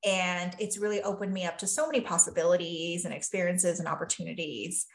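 A woman's voice: lowish voice, a little fast, roomy sound. A woman from Taiwan, a physician and life coach, talking earnestly and thoughtfully as a podcast guest, often saying "you know" and "really". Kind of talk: reflective podcast conversation.

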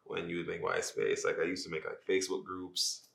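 A man's voice: low voice, monotone, fairly quick, creaky, a bit bright. A man with a Jamaican accent chatting casually as a podcast guest, speaking in a loose, slangy way. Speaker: podcast guest, social media page creator. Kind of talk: casual interview chat. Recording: echoey room.

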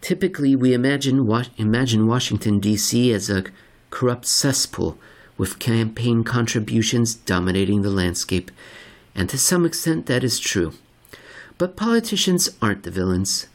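A man narrating a scripted article as a podcast host, with theatrical, emphatic delivery and deliberate phrasing. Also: mid-range voice, lively intonation.

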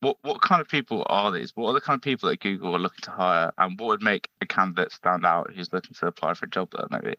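A young man, a student, asking interview questions in a brisk, direct way. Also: low voice, sharp tone, dry recording. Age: young adult. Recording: dry, lo-fi sound.

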